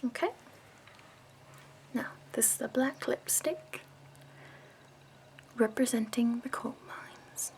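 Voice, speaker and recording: A woman voicing a prim, chipper escort who does your makeup, speaking softly in a half-whisper with fussy, doting praise. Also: a little high. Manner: soft-spoken, half-whispered and slow. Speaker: ASMR roleplay performer. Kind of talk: soft-spoken ASMR roleplay.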